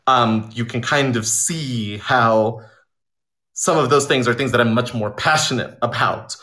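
A man talking in an informal interview as an audio drama creator, telling stories in an animated way and voicing imagined quotes. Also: medium pitch, a little slow. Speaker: audio drama writer and director being interviewed.

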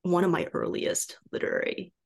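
A woman from Taiwan, a native Mandarin speaker, talking as a guest novelist in a conversational podcast interview, halting as she thinks aloud and often saying "like" and "you know".

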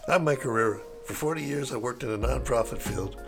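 A man's voice: medium pitch, a little fast. Older guy hosting a local interview show, friendly, reads his intro, music in the background. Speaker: show host.

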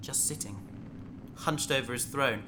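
A man's voice: medium pitch, measured pace, noisy background, close to an everyday voice. A man playing a cocky, bookish young adventurer in a sci-fi audio drama. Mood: hushed, wary. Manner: animated, cocky, wisecracking. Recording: loud music under voice.

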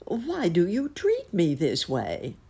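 A woman's voice: deep voice, big pitch swings, slow, creaky, darkish tone, slightly rough, pitched above the performer's own voice, heavily acted. A woman voicing a modest, shy elderly male rabbit in a storybook, courteous and a little bewildered. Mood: astonished, indignant. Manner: courteous, old-fashioned, hesitant phrasing.

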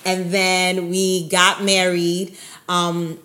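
Low-voiced New woman co-hosting. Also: monotone, extremely slow. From Brooklyn, New York. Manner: warm, faith-filled, chatty, frequent "by God's grace". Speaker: co-host of a ministry show. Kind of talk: casual conversational talk.

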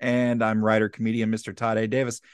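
A man's voice: fairly low pitch, completely flat delivery, quick pace, smooth, no room sound, studio quality. A man who is a comedian and writer, joking and laughing during podcast banter. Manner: jokey, laughs often.

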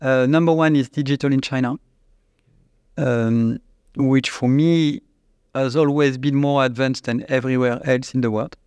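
A man with a French accent, a company CEO, answering interview questions in a halting, searching way with frequent restarts. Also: mid-range voice, steady tone, darkish tone, clear, resonant tone, no echo. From France. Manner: halting, restarts often, searches for English words.

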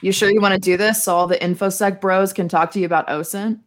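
A woman's voice: mid-range voice, fairly even intonation, fast. A woman guesting on a podcast, chatting casually in an outspoken, sassy, informal way. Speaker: podcast guest, security marketer. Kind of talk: casual chat.

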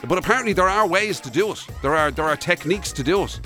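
A man's voice: high-pitched, expressive, fast talker, sharp tone, soft background music. An Irish man co-hosting a radio show in casual, conversational style.

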